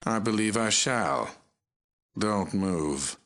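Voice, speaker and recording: A man's voice: lowish voice, lively intonation, background noise. A man voicing a megalomaniac mutant overlord in a video game, cold, menacing and commanding. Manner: slow, commanding, imperious. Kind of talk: video game villain voice acting.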